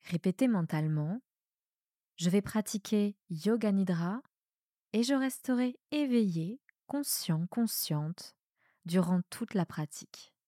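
A woman with a soft voice guiding a relaxation meditation in a calm, soothing manner with measured pauses. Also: medium pitch, dry recording, studio quality. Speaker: yoga nidra guide. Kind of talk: guided meditation, relaxation instruction.

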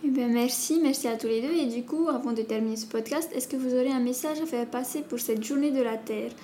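A woman's voice: high-pitched, brisk, warm tone, slight background noise. A woman hosting a podcast, thanking guests and reading a composed outro. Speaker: podcast host.

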